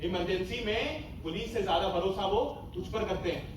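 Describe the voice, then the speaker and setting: A man's voice: high-pitched, brisk, background noise, lightly acted. A young man playing a stern, principled army major in an amateur stage play, speaking in a commanding, theatrical way. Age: young adult. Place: stage in a hall, distant microphone. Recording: echoey hall from far off, music behind.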